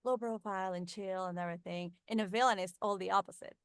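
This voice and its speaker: Mexican lady chatting on a podcast, smooth clear voice, dry close sound, friendly. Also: mid-range voice, fairly even intonation, quick pace, dry recording. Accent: Mexican Spanish. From northern Mexico. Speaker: voice actress, guest. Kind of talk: casual interview chat.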